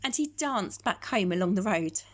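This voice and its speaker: A woman reading a children's story in a measured, expressive storytelling manner. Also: mid-range voice, slight background noise. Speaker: storytime reader. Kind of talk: scripted children's story narration.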